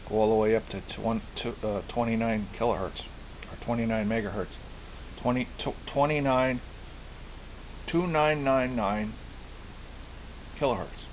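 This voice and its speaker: Man, lowish pitch, casual hobbyist demo, halting and repetitive phrasing, slight hiss, amateur recording. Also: rough recording. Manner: halting, searching for words, repeating phrases. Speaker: hobbyist presenter. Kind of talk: casual product show-and-tell. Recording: hissy amateur home recording.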